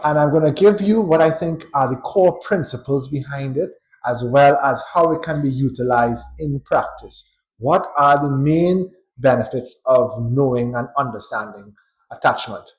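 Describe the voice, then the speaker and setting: A man with a Trinidadian accent, a psychologist giving a thoughtful, unhurried educational talk with pauses and light chuckles. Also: medium pitch, poor audio. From Trinidad and Tobago. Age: adult.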